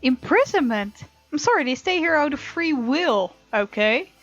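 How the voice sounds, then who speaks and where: A woman hosting a let's play, reading game text aloud dramatically and reacting with animated sass. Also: sits high, normal speed. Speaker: gaming channel host. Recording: slightly dull, lo-fi, game music behind. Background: soft game music.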